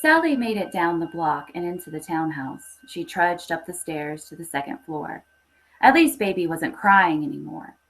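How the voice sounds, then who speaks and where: Woman, low pitch, expressive story narration, literary horror reading. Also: moderate pace, slight background noise, low-quality recording. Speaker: story reader.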